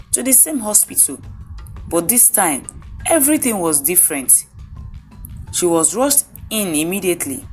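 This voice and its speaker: Slow-paced woman narrating a story. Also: lowish voice.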